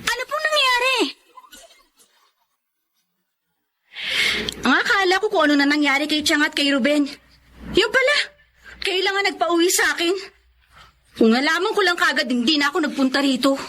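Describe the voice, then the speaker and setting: A wounded young Filipino woman in a radio drama, with a very high, piercing pitch. She is resentful, emotional and quick to anger, over clear background noise. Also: expressive, exaggerated voice. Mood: uneasy. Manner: resentful, emotional, sharp-tongued.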